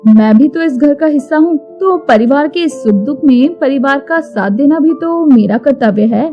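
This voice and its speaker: A sweet young daughter-in-law voiced by a woman narrator in a cartoon moral story. She is high-voiced, polite and earnest, speaking over clear music. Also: expressive, moderate pace, highs cut off. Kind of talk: animated moral-story acting. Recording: music behind the voice.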